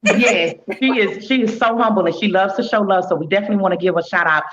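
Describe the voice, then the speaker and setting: A woman's voice: medium pitch, fast. A woman chatting casually as an interview guest, earnest and repeating words for emphasis. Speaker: show guest promoting a stage play. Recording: slightly muffled, dull audio.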